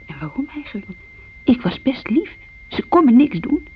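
An actress playing an insecure grown younger sister, confiding uneasily in a radio play monologue on an old recording. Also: female voice, high voice, sing-song, normal speed. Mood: anxious, confiding. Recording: muffled radio-play recording with faint hum.

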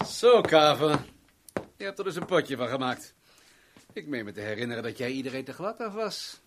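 Actor as scornful tycoon, noisy recording. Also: male voice, mid-range voice, very animated, normal speed, close to an everyday voice. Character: rich businessman O'Dowda, brusque, scornful, domineering. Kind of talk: 1970s Dutch radio drama acting.

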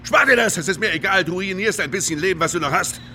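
An actor playing an angry, bitter killer on the run in a radio crime drama, berating and volatile. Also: male voice, high voice, fast, bright, forceful tone. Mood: furious. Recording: noisy ambience behind.

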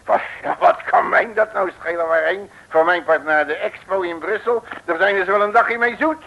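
A cocky detective in an old radio comedy serial. His high voice pours out brash, folksy slang and bossy boasts. The recording is dull and dated. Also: normal speed, vocal fry. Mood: cocky, familiar.

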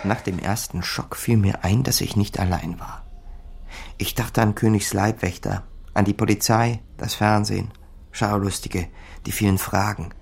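A man's voice: lowish voice, steady tone, normal speed, gravelly, a little mellow, slightly rough. A man acting as a wry small-time crook, narrating his own misadventure dryly in a radio play. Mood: wry, tense. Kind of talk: scripted first-person narration in a crime radio play.

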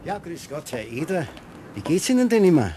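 An actor playing a courteous, effusive gentleman director and doting dog owner, chatty, in a radio play. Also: fairly high pitch, very animated, fairly quick, slight background noise. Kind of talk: German radio play acting.

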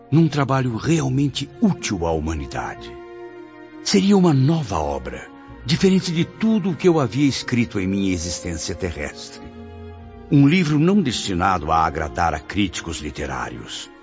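An actor playing the sorrowful spirit of a cultured 65-year-old writer in a radio drama, speaking with solemn, formal, literary diction. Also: male voice, on the low side, gravelly, highs cut off, heavily acted. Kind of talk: dramatic radio play monologue.

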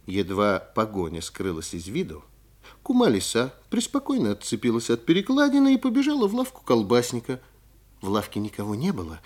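A man narrating an animal fairy tale in an expressive, scripted storytelling style. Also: mid-range voice.

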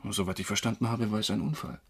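An actor playing a troubled former drinker, wrongly convicted, confessing haltingly in a crime radio drama, with a husky voice. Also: male voice, fairly low pitch, steady tone. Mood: sombre, reflective. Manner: halting, brooding.

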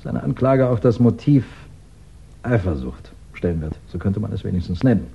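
An actor playing a composed, probing police inspector in a 1960s German radio crime drama, deliberate and dryly polite. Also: male voice, fairly low pitch, animated, vocal fry, a little hiss or hum. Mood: formal, grave. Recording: dull vintage 1960s radio recording.

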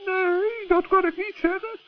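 A man's voice: extremely high pitch, steady tone, unhurried. A man voicing a small, kindly, worrying forest gnome in a children's radio play, fretful and exclamatory. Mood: grumbling. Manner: fretful, exclamatory, stammers when flustered. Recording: dull lo-fi vintage radio recording.

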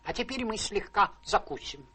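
An actor playing a miserly, crude old country baronet in a radio play, with a sly, teasing, drawling manner. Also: male voice, high voice, animated, normal speed, bright, forceful tone, slight background noise, heavily acted. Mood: jovial. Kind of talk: theatrical radio play acting.

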